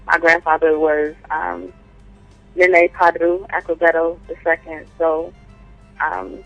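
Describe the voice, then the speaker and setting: A woman poet calling in to an interview, answering thoughtfully with frequent pauses and fillers. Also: sits low, flat delivery, creaky, no room sound, lo-fi sound, soft background music. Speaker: guest poet and writer. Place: calling in from home by phone.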